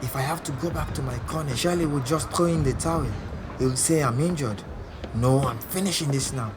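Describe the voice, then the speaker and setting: A man's voice: medium pitch, a little fast, noisy background, close to an everyday voice. An actor playing a defiant, hot-headed male prizefighter telling his story bluntly in an audio drama monologue. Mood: intense, determined.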